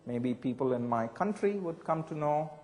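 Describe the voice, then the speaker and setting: A man giving a reflective talk, measured and thoughtful, often posing rhetorical questions to his listeners. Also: a little high, animated, a little mellow, in a large hall. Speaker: speaker.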